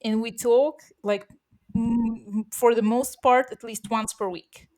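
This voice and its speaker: A woman co-hosting a podcast, born bilingual with Russian as one of her first languages. She talks in a loose, chatty way, full of fillers, restarts and quick laughs. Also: on the high side, steady tone, warm tone, faint music behind. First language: Russian, bilingual from birth. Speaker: podcast co-host. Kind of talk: casual podcast chat.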